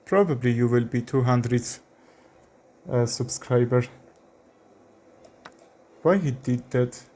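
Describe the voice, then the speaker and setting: A young man with an Armenian accent commentating his own chess game, thinking aloud with frequent fillers and pauses. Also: medium pitch, warm tone, a little hiss or hum. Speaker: chess streamer. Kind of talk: live commentary while playing chess.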